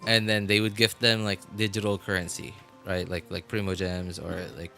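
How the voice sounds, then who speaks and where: A man's voice: low-pitched, completely flat delivery, normal speed, gravelly, bright, forceful tone, faint music behind. A man chatting casually and at length as he livestreams a game. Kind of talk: casual rambling stream chat.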